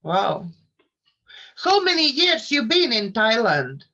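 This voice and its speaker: Slow, bright-voiced woman with a Latvian accent. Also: on the high side, very animated. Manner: careful, non-native phrasing. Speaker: host of the tarot channel. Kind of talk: casual live-stream chat. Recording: dull lo-fi stream audio.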